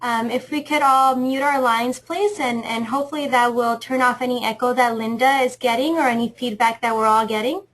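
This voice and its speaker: A woman's voice: a little high, brisk, hardly any pauses, a bit bright, low-quality recording. A woman moderating a webinar, polite and courteous as she hands over to speakers. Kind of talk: hosting a webinar.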